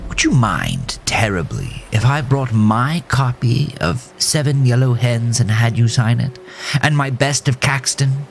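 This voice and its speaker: A man voicing an eerie talking horse gentleman with a genteel, politely eager manner, in a horror story. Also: medium pitch, big pitch swings, unhurried, vocal fry, soft background music, voice pushed up.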